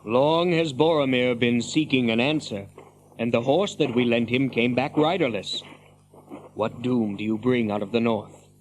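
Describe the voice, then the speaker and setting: An actor playing a stern, wary captain of horsemen in a fantasy radio drama, speaking formally and with measure. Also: male voice, medium pitch, moderate pace, a little hiss or hum, natural voice. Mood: wary, solemn. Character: stern, wary horse-lord captain, loyal to his king.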